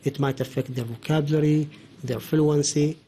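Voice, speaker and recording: A man from Oman speaking as a linguist guest, explaining in a halting way with many hesitations. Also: on the high side, steady tone, mellow, slight background noise. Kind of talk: explanatory podcast talk.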